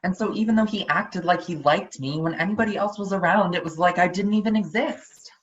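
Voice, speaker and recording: A woman's voice: fairly low pitch, brisk, slight background noise. A woman actor giving a dramatic reading from a book. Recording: dull lo-fi recording.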